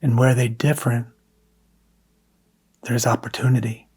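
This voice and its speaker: A man guiding a scripted meditation in a soft, hushed, breathy manner, leaving long pauses between phrases. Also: medium pitch, fairly even intonation. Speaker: meditation guide.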